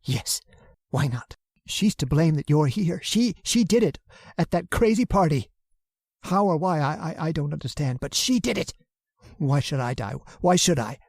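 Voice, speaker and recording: Henpecked husband female performer, radio horror play. Frightened, halting, raspy and muted in tone, with a reverberant hall around the voice. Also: deep voice, animated, a little mellow, heavily acted. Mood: resentful, giving in. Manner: stammering, hesitant, pleading. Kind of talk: old-time radio horror drama acting.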